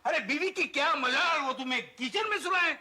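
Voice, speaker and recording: A sly, boastful husband, meddles and needles in a high voice that swings about. It is broad sitcom acting with loud noise behind. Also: male voice, normal speed. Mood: scornful. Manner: needling, animated.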